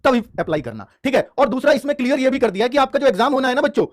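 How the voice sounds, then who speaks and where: A man's voice: very high-pitched, very animated, very fast. A man giving an informal explainer lesson as a coaching teacher, emphatic and repetitive, often checking in with his students.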